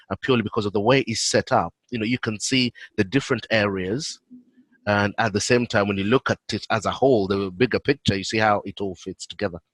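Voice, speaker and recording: A British man on a video call, explaining his work in a relaxed way with frequent fillers. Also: lowish voice, no echo. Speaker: guest, head of operations.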